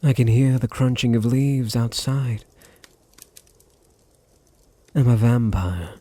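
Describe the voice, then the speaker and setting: A man playing a possessive, teasing vampire master in an intimate ASMR roleplay, with a deep, husky British voice and a languid, condescending manner. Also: unhurried, mellow, close to an everyday voice. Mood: possessive, menacing. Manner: languid, condescending, purring endearments.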